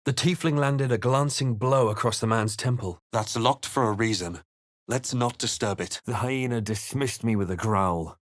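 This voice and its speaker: A man voicing a grave, watchful, wry spellcasting adventurer hero in a video game, with a measured, dramatic delivery. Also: medium pitch, dry recording, studio quality, close to an everyday voice. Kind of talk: video game voice lines, dramatic narration and incantations.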